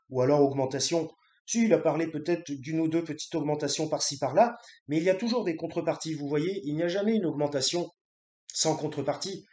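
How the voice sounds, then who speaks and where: A man's voice: a little high, a little mellow, reverberant. A man ranting about politics in an unscripted monologue, indignant and repetitive, with many restarts and fillers. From grew up in France from age three. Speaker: vlogger.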